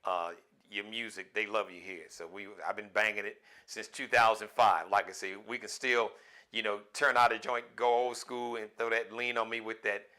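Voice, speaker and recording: A man's voice: on the low side, level tone, moderate pace, bright, forceful tone. A man hosting an interview, chatting in a conversational, affirming way and asking the guest questions. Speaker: radio show host. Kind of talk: interview host chat.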